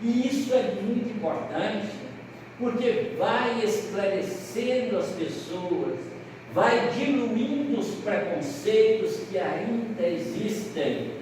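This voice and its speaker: Brazilian male lecturer, high, lively storyteller, self-correcting; distant mic in a reverberant hall. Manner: animated storyteller, pausing on words and correcting himself aloud. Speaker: lecturer at a Spiritist seminar. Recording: echoey hall, voice from far off, faint hiss.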